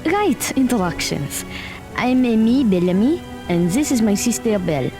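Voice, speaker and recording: A woman's voice: fairly high pitch, sing-song, moderate pace. A woman playing an informal, easily needled teenage girl in an audio drama, casual and a bit defensive. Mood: apologetic, downcast. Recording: music behind the voice.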